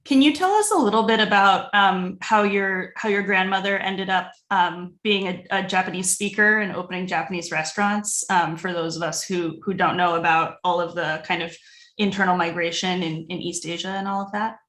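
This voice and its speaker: A woman's voice: lowish voice, normal speed, a bit bright. A woman from the Boston area interviewing a guest in a friendly, casual way. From Boston area, USA. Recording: roomy echo.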